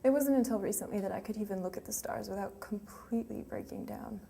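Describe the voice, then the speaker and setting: A woman's voice: mid-range voice, sing-song, quick pace, dark tone. An actress playing a grieving young woman remembering her lost sweetheart in a hesitant, emotional, confessional monologue. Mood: grief-stricken. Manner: hesitant, emotional, with trailing phrases. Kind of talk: dramatic monologue, confessional and conversational. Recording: clearly noisy room ambience.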